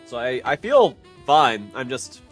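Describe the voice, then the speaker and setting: A man's voice: mid-range voice, sing-song, measured pace, fairly bright tone. A young man streaming a game with a stuffy nose, chatting casually, repeating phrases and swearing. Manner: repeats phrases, profane, laid-back. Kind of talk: casual live-stream chat. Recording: faint music behind.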